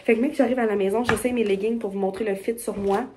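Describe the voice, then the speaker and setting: A woman's voice: mid-range voice, fairly even intonation, quick pace. Young woman, Québécois accent, upbeat rambling vlog chat, phone at arm's length, faint hiss behind. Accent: Québécois French. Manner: chatty, upbeat, rambling with fillers. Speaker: vlogger. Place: bedroom and car. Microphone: phone held at arm's length.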